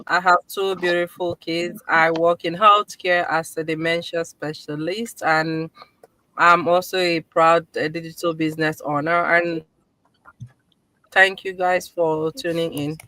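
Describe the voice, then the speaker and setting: A woman's voice: on the low side, level tone, unhurried, bright, forceful tone, no room sound, poor audio. A woman with a Nigerian accent introducing herself as a panelist on an online call.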